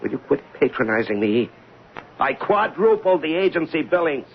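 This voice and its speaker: An actor playing an embittered, suspicious advertising executive in an old-time radio drama, sarcastic and full of agitated questions. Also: male voice, fairly high pitch, big pitch swings, moderate pace. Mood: indignant. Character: embittered, suspicious advertising man, husband unburdening to his doctor. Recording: dull, noisy old radio recording.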